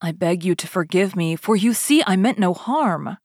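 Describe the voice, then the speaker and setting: A woman's voice: lowish voice, lively intonation, moderate pace, darkish tone, no echo. A woman reads as a humble merchant father from a fairy tale. He is fearful and deferential and pleads his case, with a dry, close sound. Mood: pleading. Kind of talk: storybook character voice.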